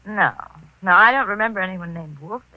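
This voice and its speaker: An actress playing a detective's breezy, playful young wife, airy and coy, in an old radio mystery. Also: female voice, medium pitch, a little fast, no echo, narrow, tinny audio, lightly acted. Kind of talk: 1940s radio mystery acting.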